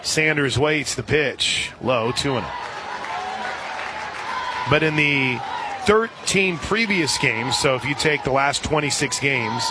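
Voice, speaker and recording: A man calling softball play-by-play for radio in a conversational, folksy way, with asides between the calls. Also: medium pitch, noisy background. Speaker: radio play-by-play sports announcer. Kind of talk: live softball commentary. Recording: crowd murmur behind broadcast voice. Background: outdoor stadium crowd.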